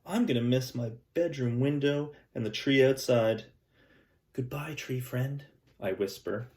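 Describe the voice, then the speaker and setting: Male teacher reading a kids book aloud, gentle and chatty, echoey room, a bit far from the mic. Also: medium pitch, a little slow. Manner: gentle, chatty, explains things to children.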